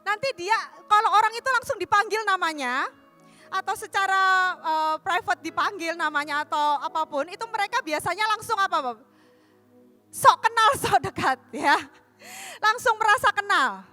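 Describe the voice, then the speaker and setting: A woman from Surabaya with a Javanese accent teaches a church class like a sermon. Her voice is very high and bright, and she keeps turning to the listeners with questions. Music plays faintly behind her. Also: normal speed. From Surabaya, East Java. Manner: lively, asks the audience questions, storytelling.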